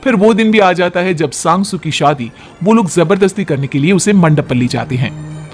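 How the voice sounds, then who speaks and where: A man's voice: high-pitched, lively intonation, normal speed. A man narrating a scripted story as a storyteller. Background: background music.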